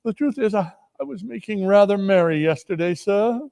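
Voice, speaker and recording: Man acting meek clerk, high voice, stammering, apologetic, deferential, theatrical storybook reading. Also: animated, normal speed, gravelly, warm tone, dry recording. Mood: nervous apology. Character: timid, apologetic male clerk, flustered before his employer.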